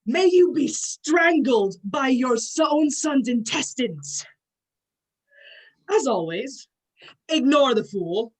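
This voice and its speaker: Slow-spoken, proud thunder god, played by a woman, solemn, pompous and threatening, for a myth play. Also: high voice, expressive, slightly rough, full character voice. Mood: grand. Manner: pompous, declamatory. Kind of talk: amateur dramatic reading of a myth.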